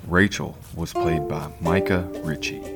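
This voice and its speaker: A man's voice: low-pitched, fairly even intonation, unhurried. A man reading scripted cast and music credits as an announcer. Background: loud guitar music.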